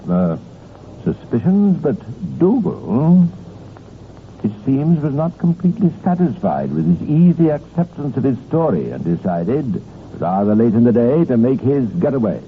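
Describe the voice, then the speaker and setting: A British man narrating a 1950s radio crime drama in a deliberate, dramatic, knowing way. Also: mid-range voice, natural voice. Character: measured, knowing male crime-case narrator. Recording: tinny, noisy 1950s radio recording.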